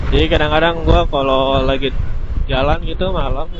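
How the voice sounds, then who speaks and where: A man's voice: fairly high pitch, fairly even intonation, normal speed. A man with a casual Jakarta-area Indonesian accent, explaining gear in a colloquial, rambling motorcycle vlog. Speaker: motorcycle vlogger. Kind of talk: casual vlog review, explaining while riding. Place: outdoors on a motorcycle by a road. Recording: muffled, clearly noisy outdoor motorbike recording.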